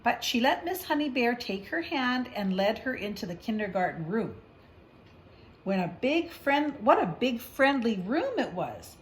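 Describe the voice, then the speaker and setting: A woman's voice: fairly high pitch, sing-song, unhurried, a little hiss or hum, low-quality recording. A woman reading a picture book aloud, with chatty asides and questions as if to a young child. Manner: conversational, explaining to a young child, gentle questions. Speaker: storybook reader.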